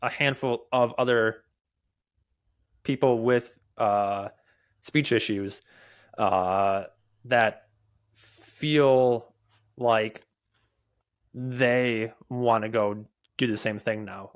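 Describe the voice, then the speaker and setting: Young man who stutters, halting speech. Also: medium pitch, steady tone, smooth. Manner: stutters, with repeated words, halting restarts and many fillers. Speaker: podcast guest, mobile developer and conference speaker. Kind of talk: candid conversational interview answers.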